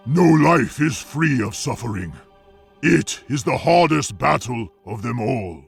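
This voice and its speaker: An actor playing a fierce, honorable orc warrior in a video game, declaiming solemnly in a rough, gravelly voice. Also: male voice, mid-range voice, lively intonation, measured pace, soft background music, full character voice. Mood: grave, weathered. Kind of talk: video game character voice lines.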